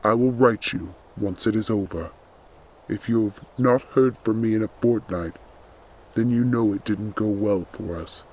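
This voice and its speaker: A man playing a loyal undead skeletal servant in a storybook, speaking in a grave, earnest, measured and protective way. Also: lowish voice, a little mellow, poor audio. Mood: firm, protective.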